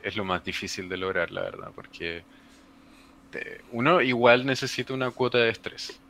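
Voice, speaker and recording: A man's voice: lowish voice, normal speed, vocal fry, fairly bright tone. A man with a Chilean Spanish accent joking and teasing as a podcast guest. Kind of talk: casual podcast chat.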